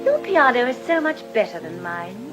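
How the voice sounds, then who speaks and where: An actress playing a genteel, gently teasing lady in a radio drama, refined and playful. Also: fairly high pitch, sing-song, normal speed, loud music behind the voice. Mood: teasing, fond.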